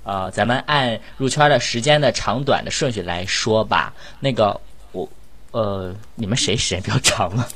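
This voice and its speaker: A man's voice: fairly low pitch, moderate pace, fairly bright tone. A man hosting a casual cast chat, bantering and asking questions in a jokey, teasing way. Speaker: host of a voice-actor cast chat.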